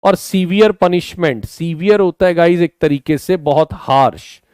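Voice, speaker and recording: Indian guy teaching english vocab online, bit hoarse, slow and patient, clean dry sound. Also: fairly high pitch, darkish tone, no room sound. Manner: mixes Hindi and English, explains words patiently, urges students to answer. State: slightly sore throat.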